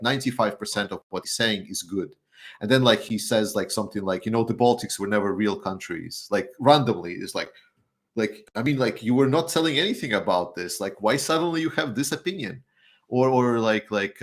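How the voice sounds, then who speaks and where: A man who is a guest on a live chat, talking in a rambling, halting way with many restarts and fillers, getting heated at times. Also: fairly low pitch. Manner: gets heated. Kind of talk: casual chat.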